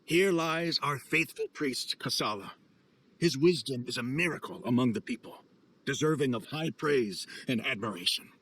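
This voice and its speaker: An actor playing a dignified, heartfelt desert mercenary leader in a video game, speaking in a solemn, measured way. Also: male voice, mid-range voice, animated, fairly bright tone, a little hiss or hum, lightly acted, rough recording. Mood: solemn, admiring. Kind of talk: video game cutscene voice acting. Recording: faint music behind voice.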